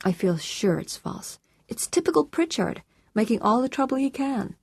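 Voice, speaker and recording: A woman voicing a composed, courteous man in an audiobook, with a calm, measured and reassuring manner. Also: a little high, creaky, breathy edge, no echo, clean studio sound, pitched above the performer's own voice, full character voice. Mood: controlled, irritated.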